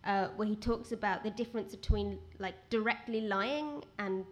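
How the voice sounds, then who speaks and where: A woman with a quiet voice, a guest writer talking at a live event, rambling with many restarts. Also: medium pitch, normal speed. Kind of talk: conversational talk at a live event. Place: outdoor event with rain.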